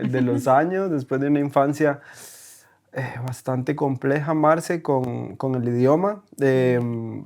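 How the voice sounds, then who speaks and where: A man's voice: mid-range voice, darkish tone. A man from Costa Rica, a German teacher, telling his story as a podcast guest, with many hesitations and tag questions. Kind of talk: casual conversational interview. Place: recording in Berlin.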